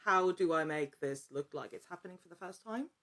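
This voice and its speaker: A woman speaking as a guest in a casual interview. Her voice sits a little low. She talks with enthusiasm and emphasis, in an actor's conversational way. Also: animated, a little fast, fairly bright tone.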